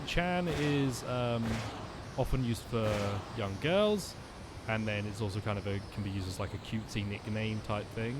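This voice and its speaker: A man's voice: lowish voice, noisy background. A young man streaming a game, chatting and thinking aloud with lots of ums and restarts. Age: young adult. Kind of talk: gaming commentary.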